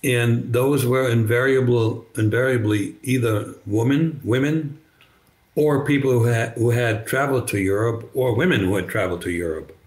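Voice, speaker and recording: An older man with a slight German accent, German native, telling his story as a podcast guest over a video call, halting with many restarts. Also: mid-range voice. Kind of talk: conversational storytelling. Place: bright living room, indoors. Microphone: video call webcam.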